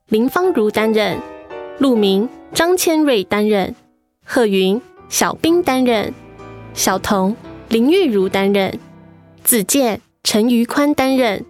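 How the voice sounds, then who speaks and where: High, slow-paced woman announcer. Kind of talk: scripted radio drama credits.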